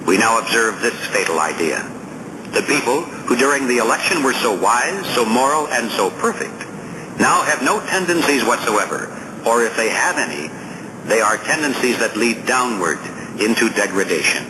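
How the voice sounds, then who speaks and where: A man's voice: medium pitch, big pitch swings, normal speed. Formal male audiobook narrator, noisy recording. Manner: formal, emphatic oratorical delivery. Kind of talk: scripted narration, reading a political essay.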